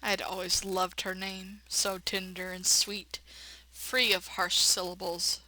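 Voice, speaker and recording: Female let's player, bright tone, expressive narration with playful, blunt running commentary. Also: on the low side, unhurried, no echo, low-quality recording. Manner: expressive narration broken by blunt sassy asides. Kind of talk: reading a visual novel aloud with playful running commentary.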